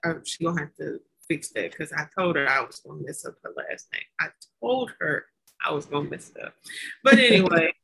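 A woman's voice: low-pitched, expressive, a little fast, vocal fry, husky, rough recording. A woman with a Southern American accent hosting a faith podcast, chatty and laughing at her own slip-ups. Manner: warm, chatty, stumbles over words and laughs at herself. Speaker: podcast host. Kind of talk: casual faith podcast interview.

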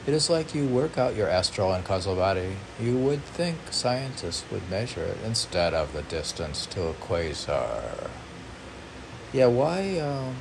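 A man with a very deep, gravelly voice talks slowly, musing and answering his own questions. An air conditioner hums loudly behind him. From United States. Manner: muses aloud, questioning and answering himself. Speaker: poet reading his own work as a podcast. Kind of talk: rambling self-questioning monologue. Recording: noisy room with air-conditioner hum.

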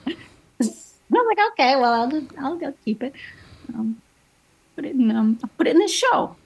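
A woman's voice: high-pitched, very animated, moderate pace, creaky, low-quality recording. A woman in her thirties, a painter being interviewed, telling stories in a chatty way with plenty of fillers and restarts. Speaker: painter guest. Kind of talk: casual interview chat.

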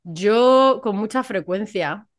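A woman's voice: medium pitch, expressive, slow pace. A woman from hosting a casual podcast, chatty and often checking for agreement with little tag questions. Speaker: podcast host.

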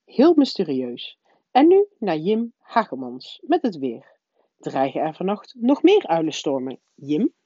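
A woman voicing a wry male television newsreader with measured presenter delivery, in a storybook reading. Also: fairly high pitch, wildly swooping pitch, mellow, no echo, highs cut off, exaggerated voice. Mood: wry, announcing.

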